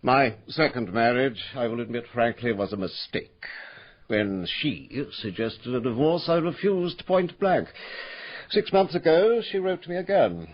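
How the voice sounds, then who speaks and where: Sinister English aristocrat for radio drama: haughty, disdainful, upper-class accent, rough-edged animated voice. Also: male voice, mid-range voice, measured pace, muffled recording. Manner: clipped, disdainful, pompous.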